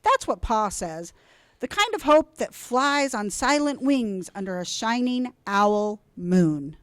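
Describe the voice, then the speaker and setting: A woman reads a children's story aloud with deliberate, dramatic phrasing. Her voice is fairly high and very lively in pitch, and she takes her time with an unhurried pace. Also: no room sound, clean studio sound. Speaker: storybook reader. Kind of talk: expressive children's read-aloud.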